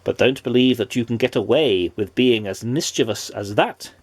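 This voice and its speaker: A man voicing a stern, knowing male wizard in a storybook, speaking in a measured, reproving way. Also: medium pitch, breathy edge, dry recording, close to an everyday voice.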